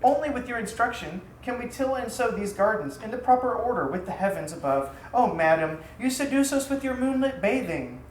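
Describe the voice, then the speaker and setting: A man voicing adoring harem women in a storybook tale, gushing and imploring as they flatter their mistress. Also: very high voice, a little fast, hardly any pauses. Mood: pleading, adoring. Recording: roomy echo, faint background noise.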